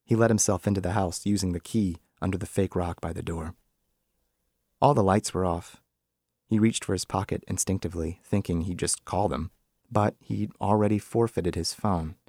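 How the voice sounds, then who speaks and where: A man's voice: sits low, darkish tone, dry recording, clean studio sound. A man narrating a piece of literary fiction as a scripted reading. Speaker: author reading own short story.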